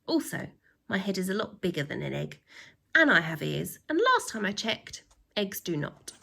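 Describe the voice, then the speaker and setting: A woman voicing a pompous, tyrannical male headmaster reading out stern rules from a children's storybook, with emphatic, drawn-out delivery. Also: mid-range voice, sing-song, vocal fry, pushed and bright, breathy edge, heavily acted. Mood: pompous, indignant. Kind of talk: children's storybook read-aloud.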